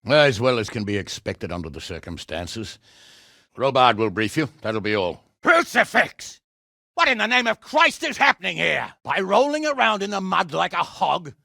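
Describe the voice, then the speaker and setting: An actor playing a gruff, sardonic middle-aged medieval lord and commander in a video game, blunt and coarse, with a gravelly voice. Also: male voice, fairly high pitch, very animated, moderate pace, bright, forceful tone, no echo, clean studio sound, heavily acted. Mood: outraged. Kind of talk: dramatic video game voice acting.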